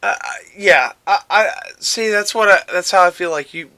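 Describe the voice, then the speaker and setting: A man hosting a casual, rambling podcast chat, haltingly searching for words and often repeating his phrases. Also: sits high, sharp tone. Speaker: podcast host.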